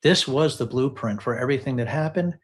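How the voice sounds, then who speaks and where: A man's voice: medium pitch, a little fast. Emphatic man giving live political commentary. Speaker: host commentator analyzing political text messages.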